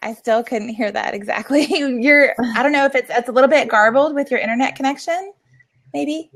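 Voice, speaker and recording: A woman's voice: high-pitched, fairly quick. A woman casually hosting a live online video meetup, with a chatty, informal way of talking.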